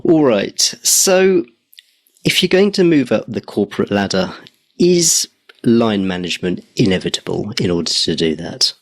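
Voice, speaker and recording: A middle-aged man hosts a careers podcast, his voice low with a gravelly edge. He speaks in a measured way with dry wit, and the recording is close and dead. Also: big pitch swings, dry recording. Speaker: podcast host and career coach. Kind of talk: scripted intro then interview questions.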